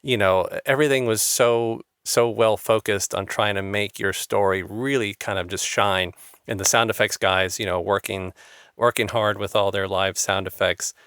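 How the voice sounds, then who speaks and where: A man hosting an interview podcast, talking in a halting way with many restarts and fillers. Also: lowish voice, steady tone, a bit bright, clear, resonant tone, no echo. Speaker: podcast host. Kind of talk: interview chat.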